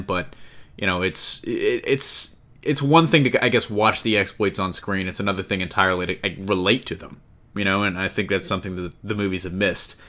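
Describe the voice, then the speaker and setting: Male podcast host, fairly low voice, chatting informally about comic book films, circling back over his phrases. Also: pushed and bright. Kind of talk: casual fan discussion of comic book films.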